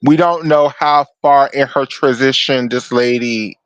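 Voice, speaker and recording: A man with a deep voice co-hosting a podcast, talking bluntly and emphatically, swearing freely. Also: measured pace, fairly bright tone, clean tone, no room sound, rough recording. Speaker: podcast co-host. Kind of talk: casual chat.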